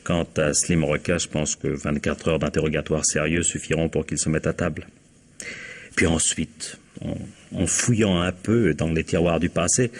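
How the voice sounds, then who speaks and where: Radio mystery inspector: low, husky, shrewd and self-assured, laying out his case with measured, probing confidence. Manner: measured, probing, ends points with "hein?", quietly triumphant. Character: shrewd, self-assured male police inspector explaining a crime. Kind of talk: French mystery radio play acting.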